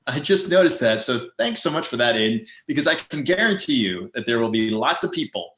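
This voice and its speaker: A man's voice: mid-range voice, big pitch swings, a little fast, fairly bright tone, roomy sound. A man in his fifties co-hosting an online business event, chatting casually with a rambling, self-deprecating humor. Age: 50s. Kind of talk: casual webinar chat.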